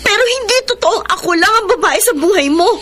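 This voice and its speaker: A tearful betrayed young lover. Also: female voice, very high-pitched, expressive, brisk, a bit bright, a little hiss or hum. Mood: hurt, accusing. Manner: tearful, pleading, breaking into sobs. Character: heartbroken young woman betrayed by a cheating lover. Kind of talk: 1990s-style Filipino radio drama acting. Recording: radio drama with music underneath.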